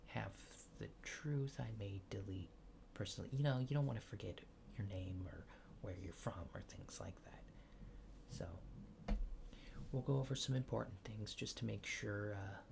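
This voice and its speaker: A man playing a laid-back, faintly apologetic alien fixer in a soft, hesitant, often near-whispered ASMR roleplay. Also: on the low side, vocal fry, noisy background. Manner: hesitant, understated, dryly matter-of-fact.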